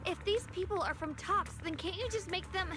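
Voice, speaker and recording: An actress playing an earnest, resolute young heroine in a fantasy video game. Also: female voice, extremely high pitch, brisk. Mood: alarmed, determined. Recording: game music behind the voice. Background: game music.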